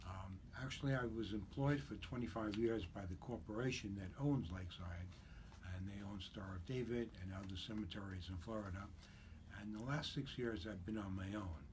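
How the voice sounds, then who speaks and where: Older guy in his 70s, lowish voice, steady businesslike explaining, radio interview with noise under it. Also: fairly quick. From born in Czechoslovakia, raised in New York. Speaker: guest, cemetery broker.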